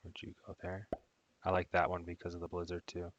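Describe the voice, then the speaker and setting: A man with a very low voice talks over a voice call, and the sound is dull, dry and lo-fi. He is easygoing and chatty as he swaps stories about earlier matches. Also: monotone, fairly quick, no room sound. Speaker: guest player on a call. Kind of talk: casual gaming chat.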